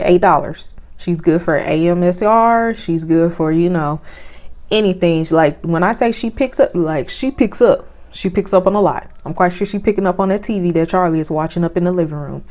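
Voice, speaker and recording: A soft-spoken young woman, chatting casually with lots of fillers as she reviews cameras at home, recorded on a phone with a clip-on mic. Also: on the low side, moderate pace. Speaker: vlogger. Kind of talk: casual product review vlog. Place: home, indoors. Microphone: phone with clip-on lapel mic.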